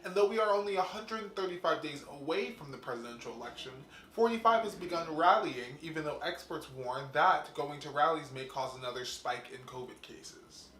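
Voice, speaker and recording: A man hosting a show, delivering a fast, profane comedic monologue before interviewing a guest. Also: a little high, lively intonation, fairly bright tone, roomy sound, low-quality recording. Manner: quick, profane, joking delivery. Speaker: host.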